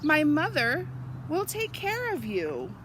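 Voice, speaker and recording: Woman voicing a high, pleading young lamb. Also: huge pitch swings, takes it slowly, background noise, heavily acted. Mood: hopeful, wistful. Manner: pleading, childlike questioning. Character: curious, clingy young female lamb. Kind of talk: children's storybook read-aloud.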